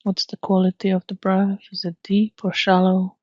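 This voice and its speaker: A woman leading a guided mindfulness meditation in a gently instructive, unhurried manner with long pauses. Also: medium pitch, monotone, dry recording, muffled recording.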